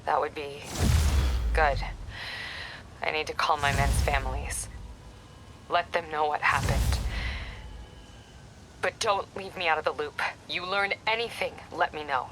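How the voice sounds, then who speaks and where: A woman's voice: sits low, unhurried, long pauses, background noise. A woman playing a weary, determined police captain in a dramatic video game, speaking in a terse, guarded way.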